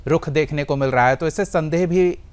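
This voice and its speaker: A man's voice: fairly high pitch, a little fast. An Indian man anchoring a live news discussion and putting questions to a guest.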